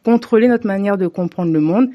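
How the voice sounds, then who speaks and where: A woman from Africa delivering a scripted opinion monologue to camera, measured and deliberate with pointed asides. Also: mid-range voice, muffled recording. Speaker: commentator.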